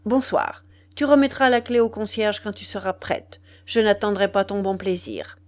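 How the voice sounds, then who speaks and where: A woman's voice: mid-range voice, moderate pace, natural voice. A woman reading an audiobook plays a cynical, domineering man, speaking in a curt, haughty, commanding manner. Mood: cold, curt. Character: cynical, cold, domineering man leaving his mistress. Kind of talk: dramatised audiobook dialogue.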